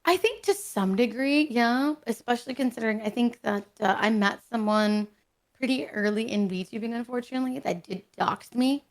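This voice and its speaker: A woman's voice: a little high, normal speed. A young woman being interviewed as a streamer guest, talking candidly and chattily with many "like"s. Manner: candid, chatty, uses "like" often. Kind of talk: casual interview.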